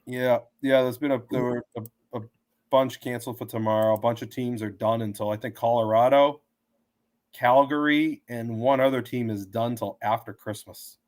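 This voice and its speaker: A hoarse man with a sore throat, rambling through his betting picks as a sports show host. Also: medium pitch, fairly even intonation, moderate pace, a little mellow, dry recording. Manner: rambling, thinks aloud with many fillers and restarts. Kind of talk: casual betting picks talk. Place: home.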